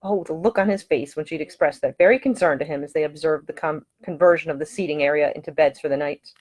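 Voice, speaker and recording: Woman, slightly low voice, audiobook narration, expressive, dramatic storyteller. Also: fast talker. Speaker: author reading own novel. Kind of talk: scripted audiobook narration, romance.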